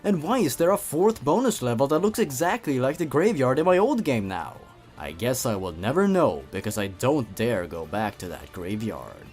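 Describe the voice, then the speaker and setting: A man's voice: mid-range voice, very animated, moderate pace, a little mellow, soft background music. A man reads a story and comments on it like a scripted reviewer, in a playful, theatrical, sarcastic way. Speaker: host reading and reviewing a horror story.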